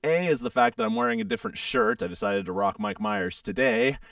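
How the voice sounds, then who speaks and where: A young man hosts a casual radio show with a heavily gravelly, hoarse voice. He talks in a lively, up-and-down way, rambling through fillers. His voice is smooth under the rasp, recorded dry and slightly off-mic. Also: fairly high pitch, fairly quick, dry recording, far from the mic. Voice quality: froggy, gravelly. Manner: chatty, rambling with many fillers. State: hoarse, getting over a sore throat.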